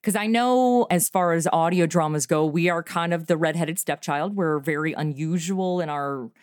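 A middle-aged woman chatting casually as an interview guest about her podcast, animated and quick to laugh. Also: sits low, clean tone, no echo, clean studio sound.

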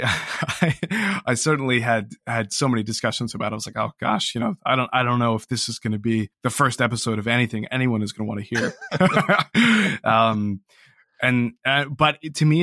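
A man talks reflectively as an interview guest in a mid-pitched voice. He is hesitant and self-deprecating, and the sound is close and dry. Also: moderate pace, bright, forceful tone, no room sound. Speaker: interview guest, audio drama creator. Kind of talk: casual reflective interview talk.

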